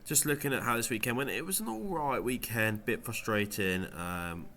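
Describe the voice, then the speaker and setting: A man's voice: mid-range voice, lively intonation, moderate pace, bright, forceful tone. A man with a cold and a nasal voice, casually reviewing his fantasy football week in a vlog. He rambles a little, with lots of ums and restarts. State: has a cold, sounds nasal. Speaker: fantasy football video creator.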